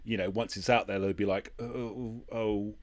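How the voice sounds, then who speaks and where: A man hosting a casual interview, speaking hesitantly and encouragingly and often trailing off softly. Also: on the low side, steady tone, moderate pace. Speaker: podcast host, interviewer.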